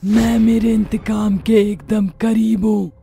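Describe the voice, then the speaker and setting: Slow, creaky, vengeful witch ghost. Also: mid-range voice, little pitch movement, mellow, faint music behind, full character voice. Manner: slow, taunting menace. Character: vengeful long-haired witch ghost, menacing and mocking. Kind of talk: Hindi horror story dramatization.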